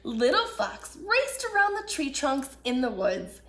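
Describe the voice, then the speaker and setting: Slow, high-voiced woman telling children's stories. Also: sing-song, background noise. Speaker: children's and families director reading stories. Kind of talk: warm greeting and read-aloud children's storytelling.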